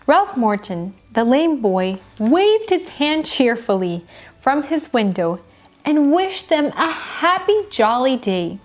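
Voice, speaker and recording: A woman narrating a children's bedtime story in an expressive, deliberate storybook style, with music behind her. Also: high voice. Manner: animated, deliberate storybook delivery. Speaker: storyteller, children's show host. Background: background music.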